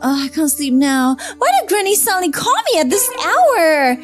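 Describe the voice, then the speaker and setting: Woman voicing a high-pitched sleepy schoolgirl. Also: sing-song, normal speed, faint music behind. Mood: weary. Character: sleepy, dutiful schoolgirl big sister. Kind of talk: animated roleplay story voice acting.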